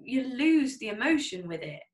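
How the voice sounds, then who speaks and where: A woman's voice: fairly high pitch, big pitch swings, moderate pace. A young woman hosting a podcast, chatty, with many ums and asks for agreement. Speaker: podcast host. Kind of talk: casual interview chat. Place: small home studio. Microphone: studio condenser mic. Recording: roomy echo.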